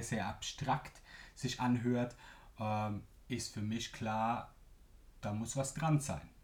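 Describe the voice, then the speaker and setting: A young man with a Swiss German accent, hosting a solo business podcast at a mid pitch. He explains things step by step, with lively emphasis and the odd filler word. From Switzerland. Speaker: podcast host.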